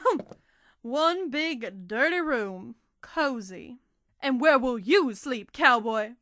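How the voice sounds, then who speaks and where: Casting: actress as scheming cartoon saloon girl, bold and haughty, very high, animated delivery. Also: slow pace, exaggerated voice. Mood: sarcastic. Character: feisty, scheming, sassy cartoon saloon singer, young female duck. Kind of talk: fan comic dub voice acting.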